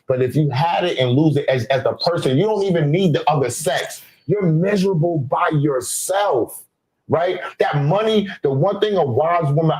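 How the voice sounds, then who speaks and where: A man's voice: a little high, a little mellow, husky, low-quality recording. A man from Queens, arguing emphatically on a panel and swearing freely. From South Jamaica, Queens, New York. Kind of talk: heated casual panel debate.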